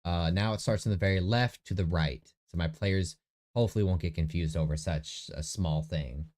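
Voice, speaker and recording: A man's voice: low-pitched, normal speed, a little mellow. Nasal young man rambling through game prep. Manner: rambling, self-correcting, talks to himself. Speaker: tabletop game master. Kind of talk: casual screen-recorded prep vlog. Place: his bedroom. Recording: faint echo in the room.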